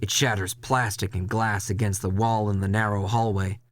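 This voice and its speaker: A man reading a dramatic first-person horror story in a measured, intense way, with music behind him. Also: on the low side, level tone, noisy background.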